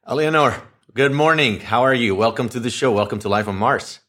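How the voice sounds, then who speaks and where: A man's voice: medium pitch, a little fast, a bit bright. A man hosting a tech podcast, interviewing a guest in a chatty way with many restarts and fillers. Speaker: podcast host. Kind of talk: casual interview. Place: Madrid, Spain.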